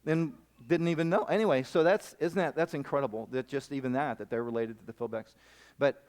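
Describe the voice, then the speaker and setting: Male missionary speaker, middle pitch, rambling animated sermon storytelling. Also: brisk. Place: camp meeting hall.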